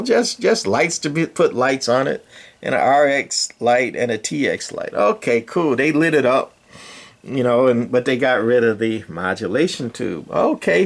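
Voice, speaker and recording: An older man with a creaky, gravelly voice at a middling pitch talks like a radio hobbyist at his bench. He rambles in a casual, folksy way, drifting into digressions and dry sarcasm.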